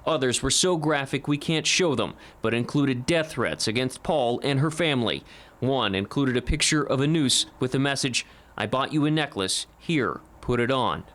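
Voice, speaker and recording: A man's voice: medium pitch, lively intonation, normal speed, a bit bright. An American man reading a scripted investigative television news report as the reporter.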